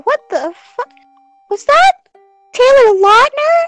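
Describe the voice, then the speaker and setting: A woman's voice: extremely high pitch, big pitch swings, slow pace, fairly bright tone, no room sound, faint music behind, poor audio. A woman ad-libbing a comedy fandub, blunt and jokey with casual swearing.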